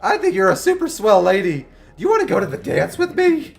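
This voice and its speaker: This is a high, squeaky voice of an eager middle-school boy, put on by a man. It cracks and leaps about and gushes with wholesome gee-whiz charm. The whole thing sounds earnest and awkward. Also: wildly swooping pitch, fairly quick, creaky, a little hiss or hum, full character voice. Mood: gushing.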